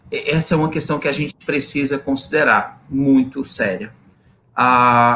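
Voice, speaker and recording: A man's voice: mid-range voice, sharp tone, slight background noise. A man speaking as an invited guest on a live online panel, explaining at length with frequent restarts and hesitations. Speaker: guest researcher.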